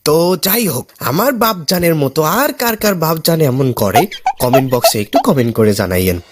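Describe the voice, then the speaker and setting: Young male Bangladeshi cartoon narrator: playful, mock-complaining, high-pitched and very lively in delivery. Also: a little fast. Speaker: cartoon narrator playing the son. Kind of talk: comic scripted storytelling. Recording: music behind the voice.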